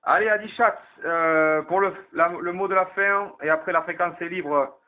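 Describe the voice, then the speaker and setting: Casting: male CB operator, slightly high voice, thin narrow radio signal, casual and explanatory. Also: normal speed. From southwest France. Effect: CB radio transmission. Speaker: amateur CB radio operator running the evening round.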